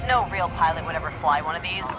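A woman's voice: low-pitched, fast, lightly acted, rough recording. An actress playing a confident female starfighter pilot turned rebel in a video game. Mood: wry, earnest. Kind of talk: video game dramatic acting. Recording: loud game music behind voice.

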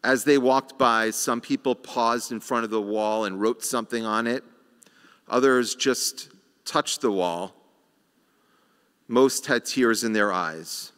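Slow, measured rabbi in a reverberant sanctuary. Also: male voice, mid-range voice, a bit bright, clean tone. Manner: slow, measured delivery with rhetorical pauses. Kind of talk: sermon. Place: large sanctuary, at a lectern. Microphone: lectern microphone.

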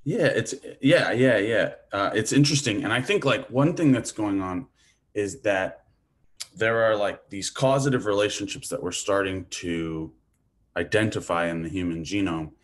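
A man from Hawaii lectures over a video call in a very low voice. He talks casually, thinking aloud with plenty of fillers, into a webcam mic in a small room. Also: moderate pace.

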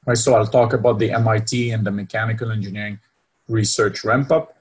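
A man's voice: on the low side, fairly even intonation, fairly quick, breathy edge. A middle-aged man from Belgium with a French accent, giving an online lecture over a video call from home, hesitant with many fillers and a habit of asking "right?". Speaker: university department head presenting. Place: home room with bookshelves. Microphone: laptop webcam video call.

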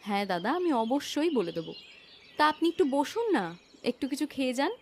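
A woman's voice: high-pitched, big pitch swings, a little fast, darkish tone, slight background noise. A woman voicing a kind, dutiful sister-in-law in a cartoon moral story, speaking in a polite, attentive way. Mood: polite, hospitable.

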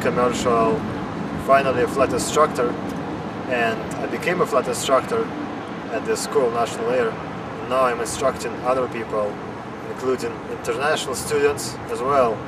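A young man, a flight instructor, giving an earnest interview outdoors on an airfield. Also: medium pitch. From Russia. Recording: distant outdoor mic, loud airfield noise.

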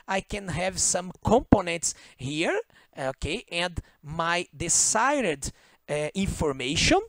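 A man whose native language is Portuguese, speaking English with a Brazilian Portuguese accent, explains a circuit diagram as an electronics instructor, pausing often, correcting himself and checking in with "okay?". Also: sits high, dry recording. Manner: halting, self-correcting, frequent "okay?" checks. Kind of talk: screen-share circuit walkthrough. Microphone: voice-over on screen recording.

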